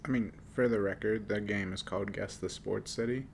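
Guy with a gravelly fry voice teasing his cohost on a podcast, lil hiss. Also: fairly low pitch, steady tone, fairly quick. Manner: teasing, stammers restarts. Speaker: podcast co-host. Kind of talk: casual chat.

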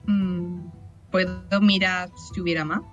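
A man's voice: sits high, little pitch movement, takes it slowly, a bit bright, highs cut off, soft background music. A man playing a tabletop game, casual and wry, cracking jokes. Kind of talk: casual chat.

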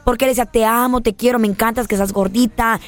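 A woman co-hosting a radio gossip chat, a chatty storyteller who is quick to argue. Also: a little high, fairly even intonation, no room sound. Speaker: radio co-host.

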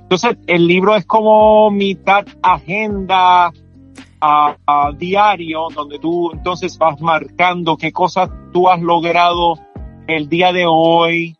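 A man's voice: sits high, little pitch movement, takes it slowly, muffled recording, faint music behind. A man with a Puerto Rican accent whose first language is English, explaining his book at length in a casual interview and checking in with "you know?". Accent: Puerto Rican Spanish. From Puerto Rico. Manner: explains at length with many restarts and tags like "¿me entiendes?". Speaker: author and performance coach.

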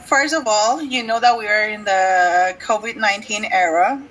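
A woman's voice: mid-range voice, moderate pace, a bit bright, rough recording. A woman co-hosting a podcast in casual chat with friends, emotional and laughing as she talks. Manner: emotional, laughs while talking. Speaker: podcast co-host.

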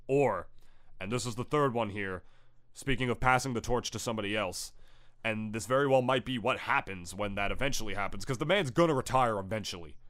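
A man's voice: on the low side, a little fast, fairly bright tone. Stuffy, nasal young man rambling. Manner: rambling, many "like" fillers, swears. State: recovering from illness. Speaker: podcast co-host. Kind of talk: casual opinionated chat.

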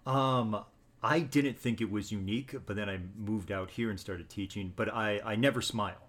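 A teacher speaking in an interview, measured and thoughtful, often restarting his sentences. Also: fairly low pitch, fairly bright tone. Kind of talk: interview conversation.

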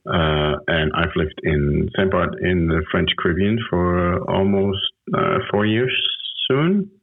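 A man's voice: sits low, slow. A man in his late thirties from Denmark, a guest chatting casually on a call, rambling with many restarts. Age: 30s. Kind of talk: casual interview chat. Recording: tinny phone-like audio.

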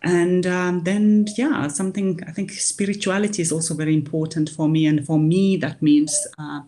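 A woman, a life coach answering interview questions, thinking aloud with pauses. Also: fairly low pitch, flat delivery, mellow. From Finland.

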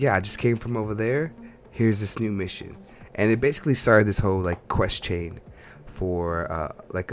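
Male podcast co-host, lowish register, loose rambling conversational delivery, slight background noise. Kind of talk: casual chat about games and films.

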